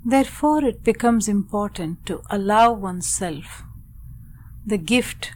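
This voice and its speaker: A woman with a mid-range voice leads a guided meditation. She speaks very slowly and deliberately, leaving long pauses between short phrases. There is faint noise behind her voice. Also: medium pitch, animated, a little mellow.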